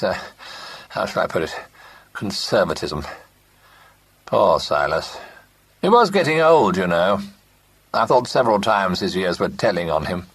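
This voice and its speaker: A man's voice: low voice, a bit bright, slightly rough, heavily acted. A man voicing a pompous older businessman who talks ponderously with a false heartiness. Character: pompous older male company partner.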